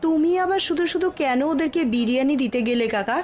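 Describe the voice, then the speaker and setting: A woman's voice: high voice, animated, quick pace, a little mellow, some chatter behind, a little hiss or hum. A woman playing a poor, humble young village mother in a cartoon story, speaking earnestly and respectfully. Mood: grateful.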